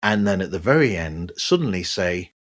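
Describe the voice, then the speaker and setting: A man hosting a podcast monologue, giving his opinions in a conversational, emphatic way with a husky voice. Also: low voice, big pitch swings, moderate pace. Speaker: podcast host. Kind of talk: podcast monologue, part scripted, reviewing other shows.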